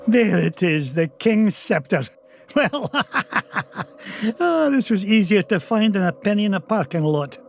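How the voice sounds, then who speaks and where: A man playing an elderly, gruff, treasure-hungry duck uncle with a Scottish accent in a cartoon game. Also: sits high, animated, slow pace, exaggerated voice. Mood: excited, awestruck. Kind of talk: animated cartoon game acting. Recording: music behind the voice.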